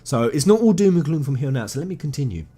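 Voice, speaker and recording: A man's voice: fairly high pitch, big pitch swings, very fast, dark tone, breathy edge. A man speaking informally and enthusiastically as a video game commentator, stressing and repeating phrases as he retells a story to viewers. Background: background music.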